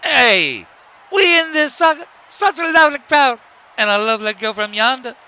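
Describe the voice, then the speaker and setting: A man's voice: very high voice, big pitch swings, normal speed, bright, forceful tone, clear, resonant tone, highs cut off, heavily acted. A man voicing a cocky purple grizzly pimp wrestler who swaggers and taunts in cartoon trash talk. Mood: cocky taunting.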